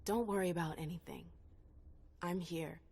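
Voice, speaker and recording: An actress playing a calm, protective superior in a video game cutscene, steady and reassuring. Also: female voice, on the low side, moderate pace, heavy background noise, lightly acted. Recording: music behind.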